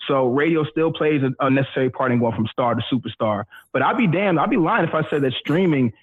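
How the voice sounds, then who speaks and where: A man's voice: medium pitch, lively intonation, fast, slightly rough, narrow, tinny audio. A middle-aged man, a radio professional, chatting as a podcast guest in an easygoing, slangy, explanatory way. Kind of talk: casual conversational interview.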